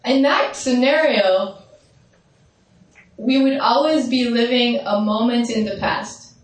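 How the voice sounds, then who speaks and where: A woman with a strong, carrying voice leads a meditation teaching, walking listeners through visualizations and checking in with them as she goes. She speaks without a microphone, so her voice reaches the recorder from a distance. A long, booming echo from the large hall surrounds every word. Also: slow. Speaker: spiritual teacher. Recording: roomy hall echo, voice off-mic.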